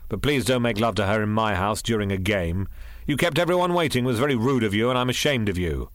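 Casting: man playing reproachful master of the house, firm, expressive, storybook style. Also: fairly low pitch, fast talker, dry recording, clean studio sound, lightly acted. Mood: cross. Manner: firm, scolding. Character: stern, reproachful male host of a house.

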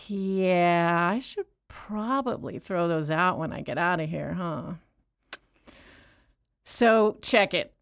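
A woman's voice: on the low side, extremely slow, creaky, long pauses, heavily acted. An actress playing a chatty, rambling woman inmate in an audio drama, telling stories in a wry tone. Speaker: actor in an audio play.

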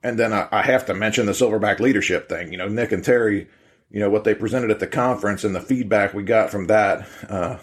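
A man with a low voice and an American accent talks through program details on a podcast. He is conversational and loosely structured, circling back over his own phrases as he explains. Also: fast talker. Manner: conversational, rambling, repeats phrases as he goes. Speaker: fire training program leader on a podcast. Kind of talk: informal explanatory podcast talk.

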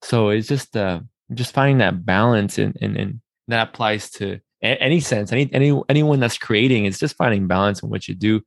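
A man's voice: on the low side, fairly quick, creaky, hardly any pauses, no room sound, studio quality. A man hosting a podcast interview in a casual, talkative and affirming way. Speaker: podcast host.

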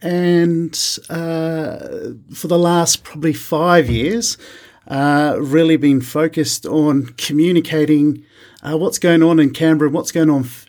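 A man in his forties from New Zealand with a New Zealand accent, a chef talking casually in an interview, pausing often with fillers and restarts. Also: on the high side, steady tone, a little mellow. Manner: hesitant, many uh fillers and restarts.

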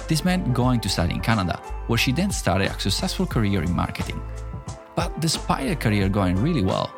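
A man with a low voice that rises and falls a lot hosts a podcast. He reads a scripted welcome, then chats and asks curious questions with music playing behind him. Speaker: podcast host. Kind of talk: scripted intro then casual interview.

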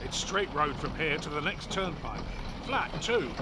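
A rough-and-ready 1820s coach driver. His speech is rustic and blunt, on the high side and very animated, over loud vehicle noise. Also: a little slow. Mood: boisterous. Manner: rustic dialect, gruffly plain-spoken. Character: brash, reckless, blunt 1820s stagecoach driver. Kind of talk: period audio drama acting.

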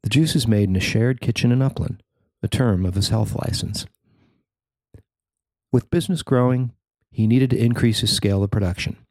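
A man narrating a newspaper feature he wrote, in a measured, even reading. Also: medium pitch, no room sound. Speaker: newspaper columnist reading his story. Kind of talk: scripted narration.

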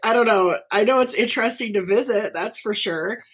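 A woman from northern Nevada hosting her own podcast, chatting casually and warmly about her life, with frequent laughs, fillers and restarts. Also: mid-range voice, fairly quick, husky. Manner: chatty, giggly, frequent fillers and self-corrections. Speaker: podcast host, teacher. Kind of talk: casual solo podcast chat.

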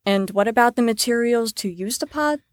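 Woman playing placating, high-voiced female group leader. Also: fairly quick, no echo, lightly acted. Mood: officious. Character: harried adult female group leader, placating, rule-bound. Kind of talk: audio drama acting.

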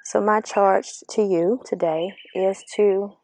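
A woman giving a reflective, earnest motivational talk outdoors, speaking slowly and asking the listener questions, her voice slightly raspy. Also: medium pitch, fairly even intonation, a little mellow. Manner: slow, earnest, coaching, asks the listener questions. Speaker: vlogger giving spiritual encouragement. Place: outdoors among birds. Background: birdsong.

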